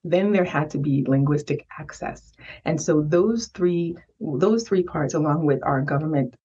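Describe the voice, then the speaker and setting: A woman's voice: deep voice, a little slow, warm tone, slightly rough, highs cut off. A Haitian-born woman whose first language is Haitian Creole, speaking as a podcast guest, emphatic and often checking in with "right?". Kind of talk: conversational storytelling.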